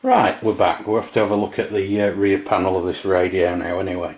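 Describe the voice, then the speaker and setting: A British man with a low voice talks through a piece of kit in a casual, halting, unscripted way. He sounds like a hobbyist presenting from behind his own camera. The sound is roomy and somewhat dull, picked up indoors by the camera's microphone. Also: fairly even intonation, a little mellow. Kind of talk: casual product walkthrough. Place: indoors in a domestic kitchen. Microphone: camera mic, behind the camera.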